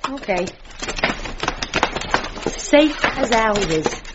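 A woman's voice: fairly high pitch, animated, very slow. A woman playing a bossy, brusque, no-nonsense British woman in a comic audio drama, with colloquial speech. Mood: bossy, impatient. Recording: muffled sound, faint noise.

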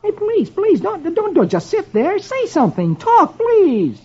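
High strained voice, excitable comic strip husband guy acting it out, eager and pleading, muffled old radio. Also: moderate pace, exaggerated voice. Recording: dull lo-fi old radio, clear noise.

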